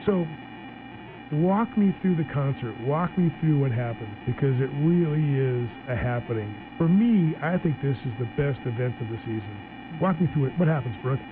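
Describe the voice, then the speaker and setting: A chatty, upbeat man hosts a casual radio talk segment, stumbling and restarting as he goes. His voice comes through a narrow, phone-like band. Music plays clearly underneath. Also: mid-range voice, expressive, moderate pace. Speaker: radio talk show host. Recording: tinny phone-like broadcast with music.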